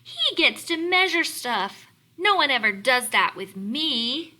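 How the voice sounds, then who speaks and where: A woman's voice: sits high, animated, slow pace, pushed and bright, voice pushed up, heavily acted. A woman voicing envious kitchen utensils from a storybook, plaintive and emphatic. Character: storybook kitchen utensils envying their carefree friend.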